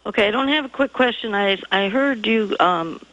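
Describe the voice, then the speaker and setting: A woman calling in to a radio show, speaking hesitantly with many pauses and fillers. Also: mid-range voice, fairly bright tone, no echo. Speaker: caller. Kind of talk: call-in question. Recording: dull phone line.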